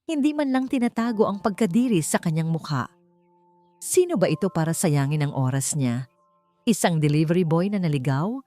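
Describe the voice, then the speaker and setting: A woman narrating an audiobook romance story, speaking in an expressive storytelling manner that climbs higher at dramatic moments. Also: normal speed. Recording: faint background music.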